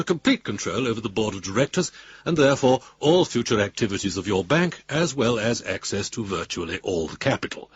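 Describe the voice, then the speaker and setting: An actor playing a pompous senior lawyer in a radio drama, reading a formal, wordy letter in a measured way. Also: male voice, mid-range voice, husky, no echo. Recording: dull lo-fi radio recording.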